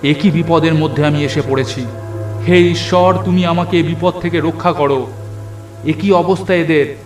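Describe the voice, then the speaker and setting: A man's voice: on the high side, steady tone, moderate pace, voice pushed up, close to an everyday voice. A man voicing a terrified young nephew in a horror cartoon, stammering and frantic. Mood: panicked. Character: terrified young nephew trapped among ghouls. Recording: echoey voice over music.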